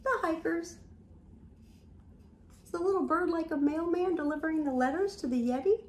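A woman's voice: very high voice, normal speed, roomy sound, slight background noise. An older woman reading a picture book aloud to young children, with gasps and whispers, recorded at home in front of a camera. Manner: animated storytelling with gasps and whispers. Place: home room, indoors.

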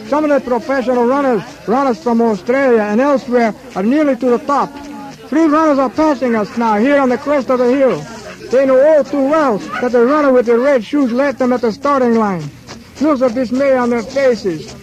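An actor playing a chatty, amazed field reporter covering a race in a radio drama. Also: male voice, very high-pitched, normal speed, low-quality recording. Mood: excited.

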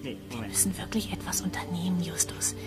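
A woman's voice: on the low side, moderate pace, over music, close to an everyday voice. An actress voicing a worried young woman in an audio drama, urgent and often whispering.

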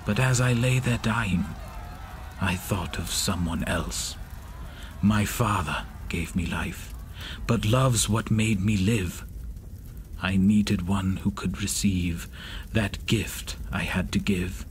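Slow, anguished monster. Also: male voice, low-pitched, a little hiss or hum. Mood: tender, resolute. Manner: solemn, measured, theatrical delivery. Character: Frankenstein's creature, anguished, poetic, vengeful yet loving monster. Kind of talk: dramatic rhymed monologue, horror audio drama.

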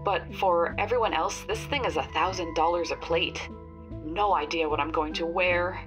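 A woman plays a chatty, old-fashioned helper in a podcast drama. She confides in a lowish voice, with clear music playing behind her. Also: normal speed, lightly acted. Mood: chatty, confiding. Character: Nancy, chatty, helpful, slightly old-fashioned female informant. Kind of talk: audio fiction podcast acting.

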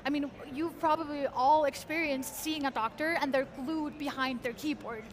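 A woman's voice: high-pitched, fairly even intonation, normal speed. A young woman from Iceland with an Icelandic accent, speaking as an interview guest at a convention booth, eager and articulate. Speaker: guest, research engineer. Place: large convention hall booth, indoors.

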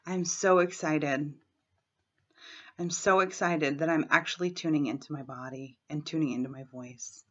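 Hoarse lady with a cold, lowish voice, straight-talking, muffled webcam sound. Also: steady tone, moderate pace, fairly bright tone. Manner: frank and brazen, clears throat often. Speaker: tapping coach. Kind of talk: self-help vlog with guided tapping. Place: small room at home, indoors. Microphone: laptop webcam.

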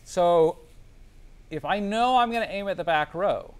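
A man's voice: high-pitched, unhurried, smooth. A man giving a classroom lecture as a teacher, explaining step by step and often checking understanding with short tag questions. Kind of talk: classroom lecture with spreadsheet demonstration. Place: indoor classroom.

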